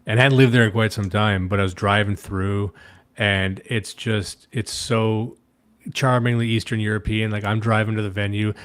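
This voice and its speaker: A man's voice: fairly low pitch, steady tone, normal speed, a bit bright. A man co-hosting a casual livestream chat, sardonic and rambling, swearing casually. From Canada. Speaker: podcast co-host.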